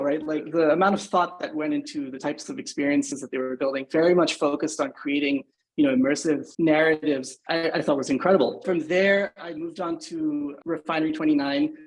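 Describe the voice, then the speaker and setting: A man from Singapore, in his thirties, talks as a podcast guest in a fairly high voice. He speaks thoughtfully and keeps adding "you know" and "right?" as he goes. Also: poor audio. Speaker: guest, agency founder. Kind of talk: podcast interview.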